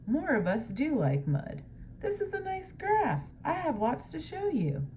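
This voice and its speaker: A woman's voice: sits high, huge pitch swings, measured pace, warm tone, slight background noise, voice pushed up, full character voice. A woman voicing an eager, friendly animal character from a children's picture book, with questioning storybook dialogue. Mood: proud.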